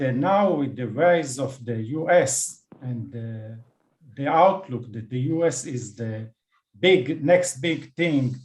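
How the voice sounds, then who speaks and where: Man, panel guest, slow and measured, roomy echo. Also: mid-range voice, a little mellow. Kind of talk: unhurried panel answer.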